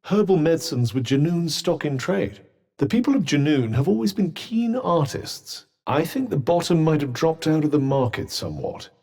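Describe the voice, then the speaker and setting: Sardonic, swooping British talking cuff. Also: male voice, a little high, very animated, normal speed, creaky, a little mellow, exaggerated voice. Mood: erudite, explanatory. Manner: dry, sarcastic, pompous, articulate. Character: sardonic, haughty talking magical cuff, erudite male companion. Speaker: voice actor. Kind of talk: video game companion dialogue.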